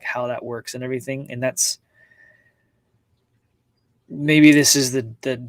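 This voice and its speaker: A man hosting a personal livestream, talking casually and confidingly to viewers in a halting, reflective way, often circling back and repeating his words. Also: mid-range voice, dry recording. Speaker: host of a personal live-streamed show. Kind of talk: casual, confiding livestream talk to viewers, reading chat comments.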